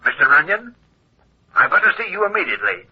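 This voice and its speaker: Husky, frightened radio-drama client. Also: male voice, on the high side, very animated. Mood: frightened, urgent. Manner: hurried, stammering, anxious. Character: frightened, fussy male client begging for protection. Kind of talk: old-time radio drama acting. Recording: muffled, lo-fi old radio recording.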